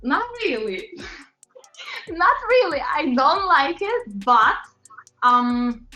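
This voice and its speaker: Chatty woman from Latvia, higher voice, interview guest; faint music under a muffled recording. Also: big pitch swings, extremely slow, bright, forceful tone. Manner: chatty, laughs often, many fillers. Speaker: interview guest, pop singer. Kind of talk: casual interview chat. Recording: roomy, dull lo-fi sound with faint music.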